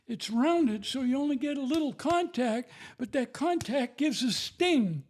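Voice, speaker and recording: High-voiced older man, preachy testimony. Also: expressive, measured pace, darkish tone. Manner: emphatic, repetitive, preachy storytelling. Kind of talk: testimony on faith and parenting.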